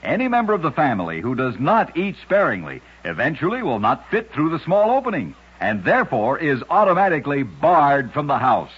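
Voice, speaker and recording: Husky male old-time radio storyteller. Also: fairly high pitch, wildly swooping pitch, a little fast, few pauses. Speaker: radio narrator of curiosities. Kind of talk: old-time radio scripted narration of strange true stories. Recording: dull, lo-fi old radio recording.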